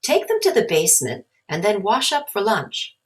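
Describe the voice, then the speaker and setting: A woman's voice: medium pitch, huge pitch swings, moderate pace, voice pushed up. A woman voicing a boy's practical mother in a storybook, matter-of-fact and firm but caring.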